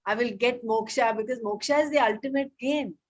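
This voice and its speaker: A woman leading a casual scripture study group over a video call, explaining terms patiently and reading passages slowly. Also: fairly high pitch, muffled recording. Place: home, remote meeting.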